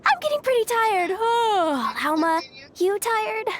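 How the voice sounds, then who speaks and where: Very high-pitched, bubbly little girl. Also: takes it slowly, noisy background, full character voice. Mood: awkward, restless. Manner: chatty, blunt, expressive. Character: small, bubbly, impatient, childlike girl companion. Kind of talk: video game voice acting. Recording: music playing under the voice.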